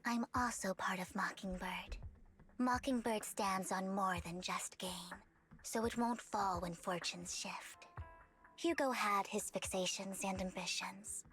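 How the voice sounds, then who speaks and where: Actress as resolute young woman, game character, soft breathy edge, cool formal poise, mid-range pitch, light music. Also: measured pace, close to an everyday voice. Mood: proud, resolute. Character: proud, resolute young woman of a secretive outfit. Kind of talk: video game voice acting.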